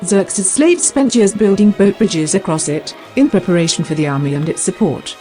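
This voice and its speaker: A woman giving scripted documentary narration in a clear, explanatory way. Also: on the low side, lively intonation, normal speed, dark tone, soft background music.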